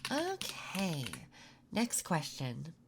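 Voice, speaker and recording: A soft-spoken woman performing an intimate ASMR roleplay as an interviewer, talking gently and a little flirtatiously with pauses between phrases. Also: lowish voice, wildly swooping pitch. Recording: clear background noise. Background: computer keyboard typing and clicks.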